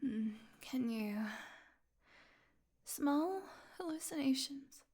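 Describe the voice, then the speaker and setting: A starving vampire woman held captive, acted out by a woman in an intimate audio drama. Her fairly high voice moves slowly and haltingly, often thinning into a breathy whisper. She is sardonic and proud, with desperation underneath. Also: animated, darkish tone, exaggerated voice. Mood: weak, delirious, sardonic.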